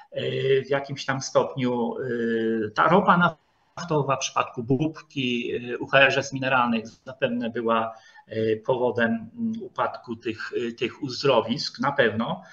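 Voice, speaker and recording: A man giving an informal history lecture, speaking haltingly and repeating words as he goes. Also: mid-range voice, fairly bright tone. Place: online video call presentation with screen share. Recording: dull, lo-fi online call audio.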